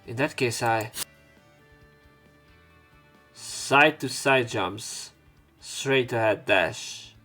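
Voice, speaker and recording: A man's voice: mid-range voice, drawn-out words, long pauses, pushed and bright. A man hosting a let's play, reading a game's narration aloud and commenting as he goes. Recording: game music behind the voice. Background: video game music.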